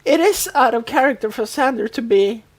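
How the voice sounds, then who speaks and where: A woman's voice: on the high side, animated, brisk. A woman co-hosting a podcast in a casual recap chat, telling the story and acting out quotes as she goes. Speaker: podcast co-host.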